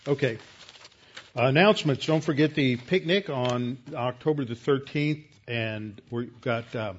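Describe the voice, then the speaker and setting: A man, a pastor leading a Bible class with announcements, recited verses and prayer, talking in a halting way with many fillers and restarts. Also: mid-range voice. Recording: dull lo-fi sound.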